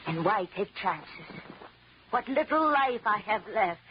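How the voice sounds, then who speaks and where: Quavering aged genteel widow, noisy recording. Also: female voice, mid-range voice, animated, slow. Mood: wistful. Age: older. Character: tiny, aged, genteel wealthy widow, wistful and fretful. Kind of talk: 1940s radio drama acting. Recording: dull, noisy old radio recording.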